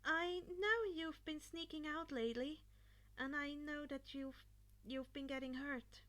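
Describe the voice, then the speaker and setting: A woman voicing a kind, worried, loving mother of a teenager, gentle and reassuring, in a fan fiction audiobook. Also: sits high, measured pace.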